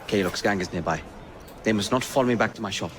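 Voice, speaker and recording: A man playing a courteous, earnest shopkeeper spymaster in a video game, speaking in a measured, formal way. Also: fairly low pitch, noisy background, lightly acted. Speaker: mentor, shop keeper. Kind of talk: video game cutscene acting.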